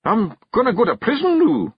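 Brief: male narrator putting on a tiny gruff Scottish fairy warrior, high-pitched, growling and proud, breathy edge. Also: huge pitch swings, normal speed, dry recording, lo-fi sound, heavily acted. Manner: growling, blustering.

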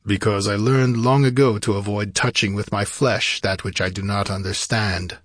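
A man's voice: fairly low pitch, fairly even intonation, normal speed, no room sound, clean studio sound, lightly acted. A man voicing a bold, plain-spoken barbarian king in a fantasy audiobook. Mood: matter-of-fact.

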